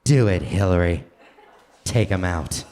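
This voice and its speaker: A man's voice: sits low, lively intonation, measured pace, heavy background noise. A man playing a devoted, admiring husband in a comedic live radio play, earnest and melodramatic. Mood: proud, dramatic.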